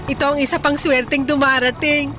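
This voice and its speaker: A woman's voice: high-pitched, little pitch movement, brisk, close to an everyday voice. A woman playing a hardworking, touchy middle-aged Filipina mother in a Tagalog radio drama, with an emphatic, reproachful and melodramatic delivery and a Filipino accent. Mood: cheerful, proud. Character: hardworking, touchy Filipina mother running an eatery, easily hurt. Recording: music under the voice.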